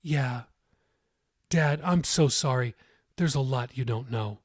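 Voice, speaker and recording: A man's voice: mid-range voice, slow, no room sound, clean studio sound. A man voicing a troubled, apologetic daughter in a subdued, hesitant way for an audiobook. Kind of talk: audiobook character dialogue.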